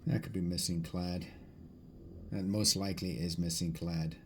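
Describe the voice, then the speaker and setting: A man's voice: low voice, steady tone, moderate pace, a little hiss or hum. A man chatting casually and rambling as he sorts coins, musing aloud and often repeating or correcting himself. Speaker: coin hunting video host. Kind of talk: rambling casual chat while sorting coins.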